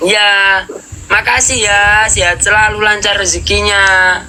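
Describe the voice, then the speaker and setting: A man's voice: sits high, fairly even intonation, unhurried, bright, forceful tone, slight background noise, low-quality recording. A man with a Javanese accent hosting a casual live stream, polite and mixing in Javanese courtesy words. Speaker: livestream host. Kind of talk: casual livestream chat.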